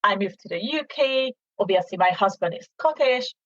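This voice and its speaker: A woman's voice: medium pitch, animated, normal speed, no room sound. A middle-aged woman from Hungary, a native Hungarian speaker with a Hungarian accent, answering informally in a conversational interview and explaining from her own life. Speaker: podcast guest, small business owner. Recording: dull, band-limited audio.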